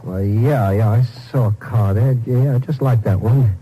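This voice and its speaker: An actor playing a nervous, evasive small-town mechanic who stammers and hesitates in a 1950s radio drama. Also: male voice, mid-range voice, unhurried, warm tone, breathy edge, rough recording. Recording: old radio broadcast with faint noise.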